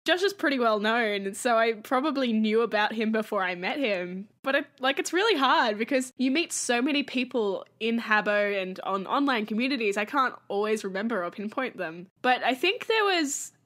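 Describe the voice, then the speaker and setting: A woman's voice: fairly high pitch, lively intonation, moderate pace, few pauses, fairly bright tone. A young woman from Australia, guest on a podcast, chatting casually and poking fun at herself. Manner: self-deprecating, laughs often. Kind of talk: casual interview chat.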